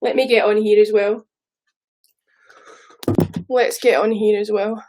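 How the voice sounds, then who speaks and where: A woman's voice: medium pitch, little pitch movement, unhurried, a little mellow. A woman in her thirties with a Scottish accent, chatting casually and rambling on a live stream, swearing now and then. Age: 30s.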